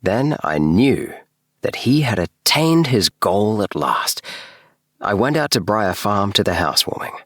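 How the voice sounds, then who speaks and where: A man narrating a bedtime story in a measured, expressive storytelling manner, recorded in a small booth. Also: lowish voice, no room sound, studio quality. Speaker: audiobook narrator.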